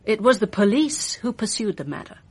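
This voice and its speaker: A woman's voice: mid-range voice, sing-song, brisk, slightly rough. A woman playing a poised, evasive film actress in a radio mystery drama, polite, guarded and arch. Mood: guarded, cool.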